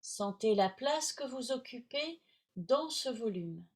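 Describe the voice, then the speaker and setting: A woman teaching yoga nidra, guiding relaxation in short, soothing, measured phrases with long pauses. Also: fairly high pitch, lively intonation. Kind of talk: guided relaxation instruction.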